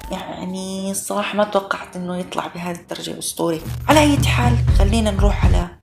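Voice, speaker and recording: A man's voice: high-pitched, very fast, vocal fry, soft background music. A young man with a Levantine Arabic accent, talking casually in a self-mocking video diary and sometimes slipping into jokingly formal Arabic. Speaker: amateur animator and vlogger.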